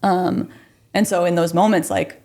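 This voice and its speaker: A woman's voice: on the low side, very animated, measured pace, dark tone. A young adult woman who grew up abroad, speaking as a podcast guest in a friendly, articulate way and often saying "like". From grew up abroad, Kuwait, Philippines, UAE. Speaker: guest, marketing director. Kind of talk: casual interview chat.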